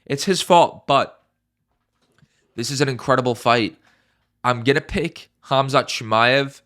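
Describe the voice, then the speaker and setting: A young man talks through upcoming fights in a loose, emphatic way, repeating his strongest points and cursing casually. His voice is congested and stuffy. The tone is bright and forward, at a middling pitch. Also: measured pace. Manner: emphatic, repeats key phrases, swears casually. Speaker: MMA YouTuber.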